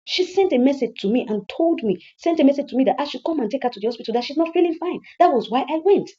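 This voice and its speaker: A woman's voice: a little high, lively intonation, quick pace, warm tone, highs cut off, pitched above the performer's own voice, natural voice. A woman voicing a fiercely protective, defiant grown son in a story, emphatic and repeating threats. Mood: defiant, pleading.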